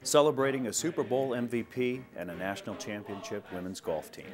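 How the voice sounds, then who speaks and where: A man's voice: on the low side, animated, brisk, music in the background. A man presenting a scripted promotional sign-off as a newsreel presenter.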